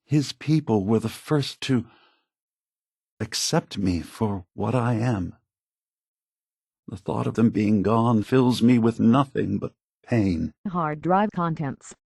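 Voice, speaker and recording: An actor voicing a remorseful, idealistic synth leader in a video game, speaking in a grave, measured and deliberate way. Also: male voice, medium pitch, expressive, vocal fry, darkish tone, slightly rough, dry recording, studio quality, heavily acted. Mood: haunted, sorrowful, then clinical, detached. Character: solemn, remorseful male synth android leader, idealistic and weary.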